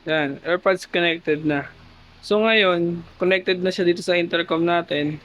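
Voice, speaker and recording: A man's voice: sits high, fairly even intonation, a little slow, fairly bright tone. A man with a Filipino accent giving a casual tutorial vlog, chatting informally to his viewers. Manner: informal, addressing viewers with tag questions. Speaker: motovlogger. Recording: muffled helmet intercom mic, background noise.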